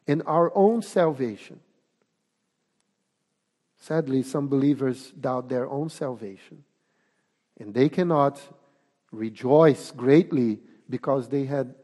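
A man with a Portuguese accent preaching a sermon, speaking deliberately and emphatically with pauses. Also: a little high, a little mellow. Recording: long reverb of a hall.

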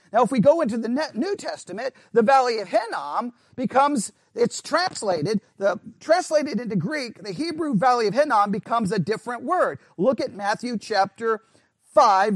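A man's voice: extremely high pitch, fairly bright tone, no echo. A man teaching a conversational Bible study lesson, emphatic, asking his listeners questions and often restarting his sentences. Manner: emphatic, asks the class questions, frequent restarts.

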